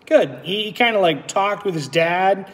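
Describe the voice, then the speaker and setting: A man teaches and reads aloud for children. His voice sits a little high and moves with lively swings. It carries a long echo from the room. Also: moderate pace. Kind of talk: animated read-aloud and lesson for children. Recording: echoey room with long reverb.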